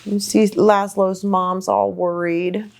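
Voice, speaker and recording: A woman reading a children's storybook aloud, expressive and sympathetic, with simple asides to young listeners. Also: medium pitch, slow pace, vocal fry, slight background noise.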